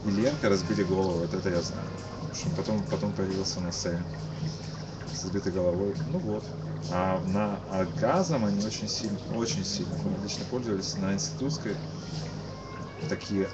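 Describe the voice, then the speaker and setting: A tired man in his thirties from Kyiv giving a casual, halting eyewitness account as an interviewee, repeating and restarting his words. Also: low voice. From Kyiv, Ukraine. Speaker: interviewee, musician. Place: cafe-like booth indoors. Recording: muffled lo-fi webcast, loud background noise.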